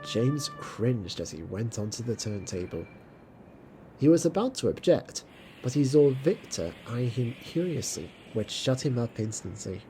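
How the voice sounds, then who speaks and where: A woman's voice: rumbling low, very animated, measured pace, warm tone, a little hiss or hum, poor audio. A woman narrating a scripted children's story as an audio drama.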